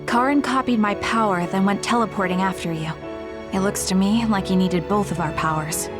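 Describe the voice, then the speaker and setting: A woman's voice: medium pitch, moderate pace, music in the background, lightly acted. An actress playing a serious, composed young female fighter in an anime game dub. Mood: explanatory.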